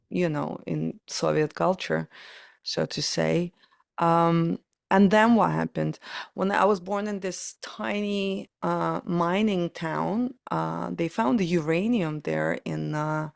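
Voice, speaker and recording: A woman's voice: lowish voice, gravelly, dry recording, studio quality. A woman in her forties with a Ukrainian accent, speaking reflectively and telling stories as an interview guest. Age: 40s. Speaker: podcast guest, pianist and performance coach.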